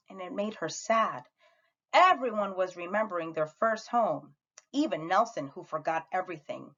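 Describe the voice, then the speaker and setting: A woman reading a picture book aloud to children in an animated, expressive way, as a teacher does. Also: medium pitch, lo-fi sound. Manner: animated, acts out the dialogue.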